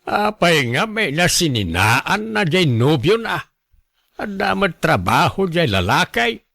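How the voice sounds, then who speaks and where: A man playing a concerned, inquisitive, mildly disapproving family relative in a radio drama, questioning in a drawn-out way. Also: fairly high pitch, very animated, vocal fry, bright, forceful tone, dry recording, studio quality, heavily acted. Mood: curious, disapproving.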